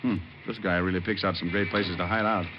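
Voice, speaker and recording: An actor playing a cocky, wisecracking private detective in an old-time radio drama, with a dry, sardonic delivery. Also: male voice, deep voice, fairly even intonation, brisk, very noisy, natural voice. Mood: sardonic, breezy. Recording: muffled old radio recording with music.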